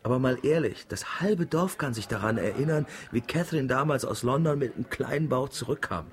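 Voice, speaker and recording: An actor plays a man's trusted village pal in a radio drama. His voice sits at a middle pitch, and he speaks bluntly with dry, teasing humour. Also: animated, a little fast, lightly acted. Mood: teasing, frank. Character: dry, teasing male drinking buddy and village friend.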